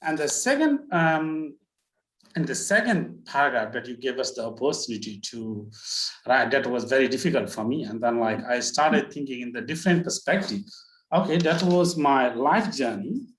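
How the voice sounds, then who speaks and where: A man in his thirties with a Nepali accent talks over a video call, and his voice bounces around a roomy, echoey space. He speaks at a middling pitch, stops often, restarts, and threads "like, you know" through his sentences as he works out what to say. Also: lively intonation, moderate pace. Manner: hesitant, heavy on fillers like "like, you know". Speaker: workshop participant. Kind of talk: sharing a personal story. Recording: roomy echo.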